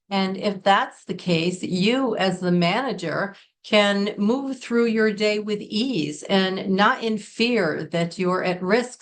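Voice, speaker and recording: A middle-aged American woman from Connecticut, giving an earnest, deliberate business English lesson and stressing key words. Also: mid-range voice. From Connecticut, United States. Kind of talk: scripted lesson to camera.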